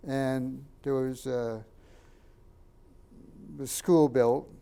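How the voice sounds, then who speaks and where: An older man, a Japanese first-language speaker from Canada, recalls his childhood in a halting oral history interview and points out photos. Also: mid-range voice, steady tone, gravelly, warm tone, smooth. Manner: halting, many fillers and restarts, pointing out photos.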